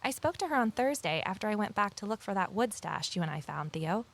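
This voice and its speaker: A woman's voice: mid-range voice, sing-song, a little fast, vocal fry. A woman playing a reserved, practical, watchful tour guide in an audio drama, with a plainspoken, slightly hesitant manner. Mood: suspicious.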